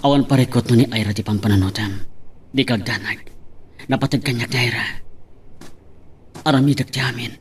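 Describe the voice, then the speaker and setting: A man's voice: mid-range voice, fairly quick, long pauses, slightly rough. A man playing a devoted suitor pleading for love, with an Ilocano accent, in love drama acting. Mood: ardent, persuasive.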